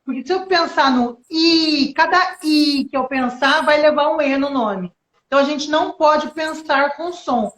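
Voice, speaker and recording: A Brazilian woman teaches English on a live stream, lively and encouraging as she goes through each step. Her voice sits high, and the room gives it a clear echo. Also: a little slow. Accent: Brazilian Portuguese. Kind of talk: live online English lesson.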